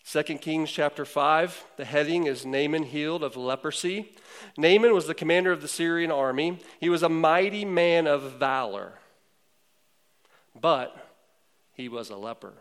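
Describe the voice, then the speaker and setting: A man's voice: on the high side, normal speed. A man preaching a sermon, retelling a story in a conversational way with frequent fillers. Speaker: pastor.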